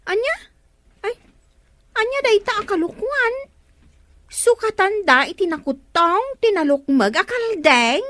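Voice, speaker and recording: Very high, swooping nosy Ilocano woman. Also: big pitch swings, a little slow, pauses often, a bit bright, dry recording, exaggerated voice. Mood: curious, surprised. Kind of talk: Ilocano radio comedy drama acting.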